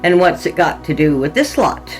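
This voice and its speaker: A woman voicing a defiant deadbeat man in a dark comedy story, dismissive, evasive and sardonic. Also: on the low side, big pitch swings, normal speed, soft background music. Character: defiant, scornful deadbeat man dodging accusations.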